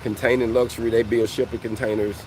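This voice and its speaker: A man's voice: medium pitch, little pitch movement, quick pace, darkish tone, slight background noise, low-quality recording. A man in his forties, a welding coach, talking casually to his viewers and often calling them "fam". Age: 40s. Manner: conversational, repeats "fam" and "you know what I mean". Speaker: welding coach and channel host. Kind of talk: casual motivational talk to viewers.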